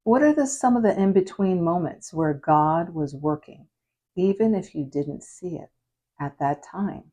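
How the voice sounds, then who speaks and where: Hoarse woman preacher, low mellow voice, unhurried earnest delivery, raspy from recent illness. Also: expressive. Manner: slow, earnest, drops softly at phrase ends; prayerful, reflective. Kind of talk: devotional message.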